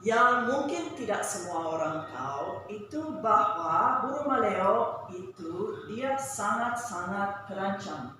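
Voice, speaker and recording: Female presenter with a non-native accent, slow, careful and halting, formal talk, distant mic, loud background noise. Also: mid-range voice, lively intonation. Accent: foreign, non-native Indonesian. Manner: halting, careful, searching for words. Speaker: conservation organisation director, presenter. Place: large echoey indoor meeting room. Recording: very echoey hall, distant mic, noisy.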